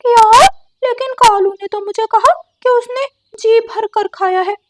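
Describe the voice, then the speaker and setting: Woman putting on a squeaky-high, gullible cartoon parrot. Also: measured pace, dry recording. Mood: shocked. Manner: naive, eager, emphatic. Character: gullible, excitable, trusting male parrot. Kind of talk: Hindi children's cartoon dialogue.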